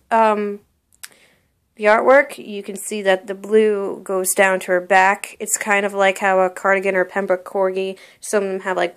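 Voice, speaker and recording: A woman's voice: medium pitch, clear, resonant tone. A young woman showing off a costume for sale in her bedroom, talking casually and gushing with lots of "like" and "really, really". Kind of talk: casual show-and-tell vlog. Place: bedroom, indoors.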